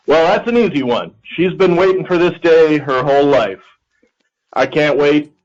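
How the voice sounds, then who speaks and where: A man's voice: fairly high pitch, unhurried. Middle-aged American man, dull call audio. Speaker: called contestant, a father. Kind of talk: casual phone conversation.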